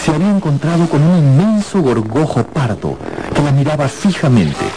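Lively, dramatic male radio narrator. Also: fairly high pitch, moderate pace, poor audio. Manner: theatrical, suspenseful. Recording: radio production with loud music bed.

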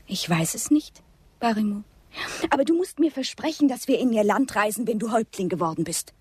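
An actress playing a dreamy, wistful young Comanche woman in a radio drama, with a very breathy, often half-whispered voice. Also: a little high, lively intonation, a little fast, a little mellow, dry recording. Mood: dreamy longing.

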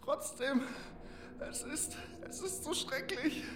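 A woman playing a shaken, faint-hearted fantasy adventurer in an audio drama, halting and distressed. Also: sits high. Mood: distraught. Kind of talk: German fan audio drama acting. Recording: distant, echoey, loud music behind.

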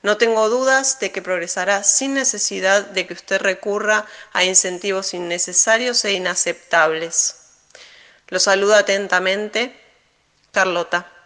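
A woman's voice: mid-range voice, steady tone, unhurried, bright, forceful tone. A woman playing a principled, firm schoolteacher reading a formal letter in an audio drama.

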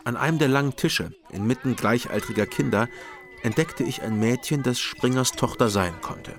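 An actor in a radio drama playing a dry, guarded East German investigator, speaking in an understated, matter-of-fact way. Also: male voice, mid-range voice, normal speed, lightly acted. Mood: detached, reflective. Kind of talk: radio drama first-person narration and dialogue. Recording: loud music behind the voice.

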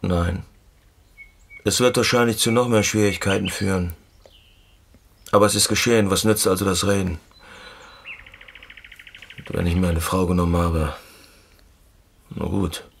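Radio drama lover: taciturn, blunt working-class type, gravelly bass, noticeable birdsong and clicks. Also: male voice, steady tone, measured pace, pauses often, slight background noise. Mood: gruff, resigned. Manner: terse, blunt. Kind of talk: intimate radio play dialogue.

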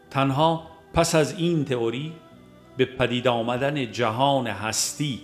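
Man reading a formal audiobook over music. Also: medium pitch. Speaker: audiobook narrator. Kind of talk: scripted audiobook reading of a religious book.

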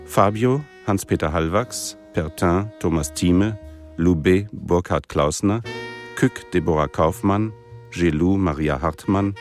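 A man's voice: low-pitched, a little mellow. A man announcing the title and cast of a radio drama in a scripted, formal read. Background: guitar and saxophone music.

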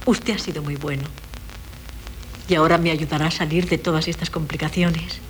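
An actress playing a coy young wife who feigns madness in a 1970s radio play, with hesitant, plaintive theatrical delivery. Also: female voice, low voice, steady tone, normal speed, close to an everyday voice. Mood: coy, confiding. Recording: noisy 1970s radio recording.

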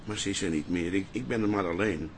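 A man's voice: deep voice, fast, slight background noise. An actor playing an elderly widowed father in a Dutch radio play, tender and easily riled. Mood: tender, wistful. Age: older.

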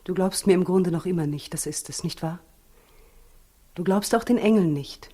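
An actress in a 1960s German radio play as an earnest expectant young wife, soft and breathy, pleading and insistent. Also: female voice, on the low side, moderate pace. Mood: hurt, pleading.

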